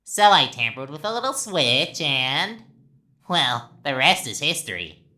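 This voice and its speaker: A woman's voice: sits low, very animated, unhurried, pushed and bright, full character voice. A woman voicing a sadistic, childishly gleeful talking flower villain in a video game, taunting theatrically and swinging from sweet to menacing. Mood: sinister recounting.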